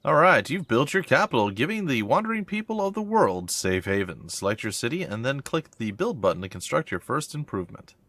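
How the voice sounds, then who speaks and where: Lively congested man commenting on gameplay. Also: medium pitch, fairly quick, hardly any pauses, no echo. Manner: thinking aloud, reads on-screen text then reacts with mild puzzlement. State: congested from a cold. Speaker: let's play host. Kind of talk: casual gameplay commentary reading tutorial text aloud.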